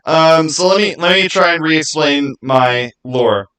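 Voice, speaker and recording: Guy on a livestream, kinda higher voice, rambling casually about game lore, repeats stuff to make a point. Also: measured pace, sharp tone, clean tone. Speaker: streamer. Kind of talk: casual live chat explaining game lore.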